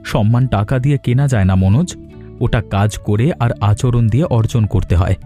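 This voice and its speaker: Dignified poor cartoon dad, male actor, mellow, firm and moralizing, over soft backing music. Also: on the low side, moderate pace, close to an everyday voice. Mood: indignant. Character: proud, injured poor villager father, dignified and defiant. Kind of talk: Bengali moral-story cartoon voice acting. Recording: music behind the voice.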